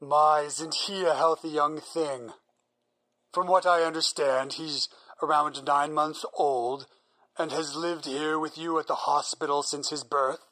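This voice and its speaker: A man's voice: a little high, fairly even intonation, bright, forceful tone, low-quality recording. A man playing a driven, obsessive scientist. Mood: polite. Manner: earnest, persuasive, measured. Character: driven, single-minded male scientist. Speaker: researching psychologist. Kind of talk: audio drama acting.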